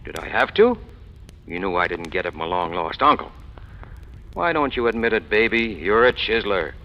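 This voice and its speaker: A man's voice: on the low side, big pitch swings, normal speed. An American man playing a cynical, self-assured racketeer in a 1940s radio crime drama, with wry, slangy tough-guy talk. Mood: cynical, needling. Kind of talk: 1940s radio crime drama acting, first-person narration and dialogue. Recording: muffled old radio recording, music.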